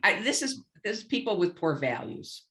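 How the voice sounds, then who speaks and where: A woman speaking as a book group participant, giving blunt opinions and halting now and then to gather her thoughts. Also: medium pitch, sing-song, a bit bright. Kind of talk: opinionated discussion comment.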